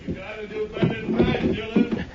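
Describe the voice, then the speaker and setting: An extremely high-pitched male voice of an actor plays a cocky frontier outlaw, jeering from a distance. The vintage radio drama recording is muffled and clearly noisy. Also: level tone, fairly quick, natural voice. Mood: taunting. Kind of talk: 1950s radio western acting.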